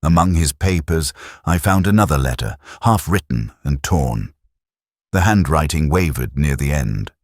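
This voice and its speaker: A man playing a melancholy, reflective police detective, narrating a mystery in the first person with a measured, literary delivery. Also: extremely low pitch, animated, darkish tone, no room sound, clean studio sound, full character voice. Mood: brooding, watchful. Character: melancholy, reflective older male police detective recounting a case.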